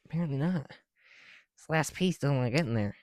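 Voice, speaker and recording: A mellow, dark-toned voice rambles casually in an ASMR style. The talk is informal and a little sweary, as if thinking aloud during a hands-on task. The sound is close and very dry. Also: medium pitch, expressive, moderate pace, no echo. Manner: informal, muttering to self, sweary. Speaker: ASMR video creator. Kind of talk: casual rambling ASMR while building with bricks.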